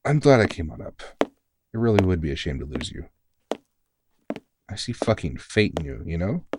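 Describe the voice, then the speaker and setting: A man's voice: sits low, sing-song, normal speed, noisy background. A man playing a tired, kindly veteran vampire hunter who mentors a rookie in an informal, teasing, reassuring way, in an ASMR comfort roleplay. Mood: fond, reassuring. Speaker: voice actor in audio roleplay.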